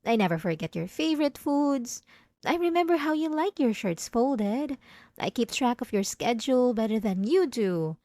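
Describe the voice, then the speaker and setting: A woman playing a possessive, obsessive wife in an intimate ASMR roleplay, pleading and defensive, coaxing with halting, stammered self-corrections. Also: a little high, very animated, mellow, no echo, studio quality. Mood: pleading, self-justifying. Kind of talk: ASMR roleplay drama, intimate monologue to listener.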